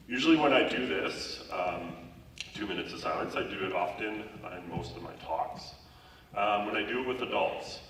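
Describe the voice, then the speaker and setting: A man with a cold gives a keynote to students in a big school hall, talking conversationally and repeating himself for emphasis. His voice is bright and fairly low, and the long echo and distant mic make it sound roomy and faint, with a little background noise. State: has a cold. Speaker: keynote speaker. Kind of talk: motivational talk to students. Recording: echoey hall recorded from far off.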